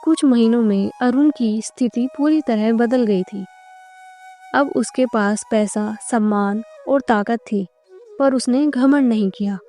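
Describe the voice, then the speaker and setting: A woman's voice: on the high side, unhurried, mellow, faint music behind. A woman narrating a scripted moral story for a cartoon.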